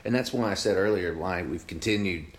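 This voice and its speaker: A man hosting an interview, teasing and opinionated, with quick interjections. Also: low-pitched. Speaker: interview host. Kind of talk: conversational interview.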